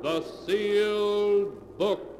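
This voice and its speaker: A male announcer from 1940s radio speaks in a dramatic, measured style. The vintage broadcast carries clear noise behind his slow delivery. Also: high voice, fairly even intonation. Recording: noisy old 1940s radio recording.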